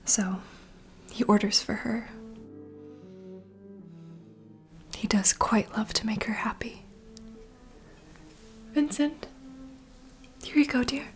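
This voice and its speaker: A woman playing a self-assured, doting vampire bartender in a whispered, intimate and unhurried ASMR roleplay. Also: fairly high pitch, soft background music. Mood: confiding.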